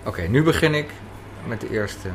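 A man's voice: mid-range voice, lively intonation, normal speed. A man interviewing casually, probing and thinking aloud as he asks. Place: café. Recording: faint café background noise.

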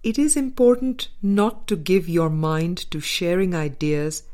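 A woman reading a spiritual book aloud as a scripted narration, with measured, deliberate phrasing in short groups. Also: fairly low pitch, a little mellow. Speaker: audiobook reader.

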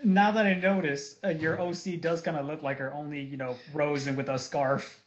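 A man's voice: on the high side, steady tone, moderate pace, muffled recording. A man co-hosting a podcast, chipping in with casual banter over an internet voice call. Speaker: podcast co-host.

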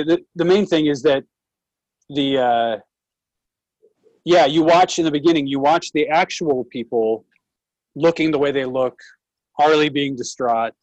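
A man's voice: a little high, no echo, dull audio. Man explaining in a dry, close recording. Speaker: theatre director. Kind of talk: casual panel discussion.

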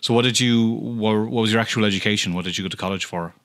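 A man's voice: lowish voice, fast, a bit bright. A man hosting a podcast, asking casual questions in a friendly, agreeable way with plenty of fillers. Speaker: podcast host. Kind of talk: casual interview conversation. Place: home studio.

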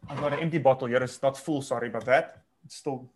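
A man's voice: mid-range voice, fast talker, crowd noise. A man in his late thirties from South Africa, whose first language is Afrikaans, chatting as a winemaker guest, rambling with many restarts and fillers. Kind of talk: casual interview chat.

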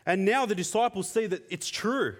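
A man's voice: high voice, expressive, moderate pace. A man whose first language is Tamil, preaching a sermon in an earnest, conversational way with frequent fillers.

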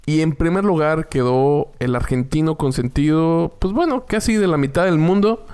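A man, co-hosting a casual sports chat in an animated, joking way. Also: on the high side, normal speed, clear, resonant tone. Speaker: co-host.

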